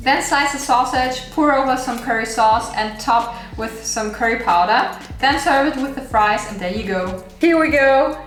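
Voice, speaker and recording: A woman's voice: sits high, moderate pace, fairly bright tone, reverberant. A woman from Germany with a German accent, walking through a recipe in a chatty way and laughing at her own slips. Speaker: cooking channel host. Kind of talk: recipe walkthrough vlog.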